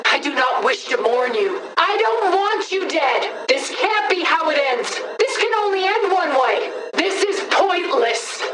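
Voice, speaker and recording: A woman's voice: very high-pitched, sing-song, measured pace, heavily acted. An actress voicing a commanding, possessive female villain in a video game, speaking formally with imperious, dramatic emphasis. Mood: pleading, exasperated. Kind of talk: video game combat voice lines. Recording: distant, echoing voice with background noise.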